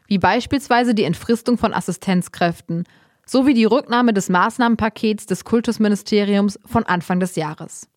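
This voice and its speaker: A woman host reads short news items for a podcast in a steady, read-aloud manner. Her voice sits at an ordinary middle pitch. The sound is dry and close, with no room around it. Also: no echo. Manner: even, read-aloud delivery. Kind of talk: scripted news reading, then interview.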